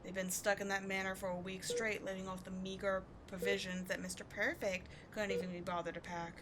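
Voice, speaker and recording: Woman doing a sardonic bossy supervillain lady, scornful audio roleplay acting, mid pitch, pretty noisy background. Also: level tone, a little fast, natural voice. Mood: brisk, scornful. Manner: biting, contemptuous, commanding, with sighs. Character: sardonic female supervillain tending a wounded captive sidekick.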